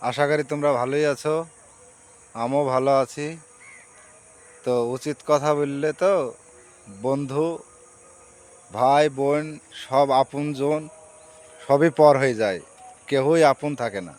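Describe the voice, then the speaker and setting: A man's voice: medium pitch, steady tone, slow pace, pauses often, a little mellow, clean tone. A man with a rural Bengali dialect accent talking casually and reflectively to his viewers, in a plain-spoken, repetitive, moralizing way. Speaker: social media vlogger.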